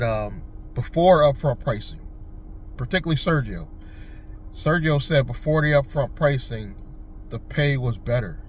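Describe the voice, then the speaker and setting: A man's voice: medium pitch, a little hiss or hum. A man from Connecticut who drives for a rideshare service, talking to his viewers in a loose, rambling vlog style with frequent fillers and restarts. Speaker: rideshare driver and channel host. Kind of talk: casual vlog talk.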